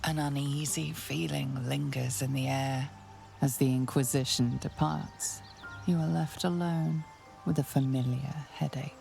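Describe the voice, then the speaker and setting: A woman voicing a game's ominous narrator, telling the story slowly and intimately in second person with a gravelly, hushed voice. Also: rumbling low, fairly even intonation, faint music behind, full character voice. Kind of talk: game narration. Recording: game score behind.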